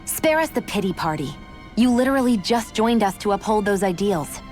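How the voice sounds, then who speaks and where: A woman's voice: medium pitch, animated, natural voice. Game role: blunt young woman adventurer played by a woman, impatient, direct, medium register. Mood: curt, critical. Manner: brisk, direct, sharp-tongued. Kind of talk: video game voice acting. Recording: music under the voice.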